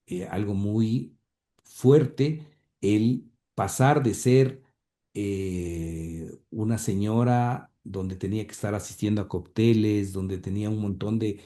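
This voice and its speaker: Hesitant man with a very dark voice. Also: fairly low pitch, slow pace. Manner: hesitant, many fillers and repeated words. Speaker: interview guest, grandson of the radio pioneer. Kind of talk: conversational storytelling interview.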